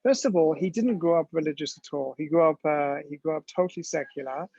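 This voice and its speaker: A man's voice: a little high, brisk, vocal fry, dark tone, dry recording. A man giving a storytelling lecture with slides, talking conversationally with many ums and restarts, in a dull, dry lo-fi recording.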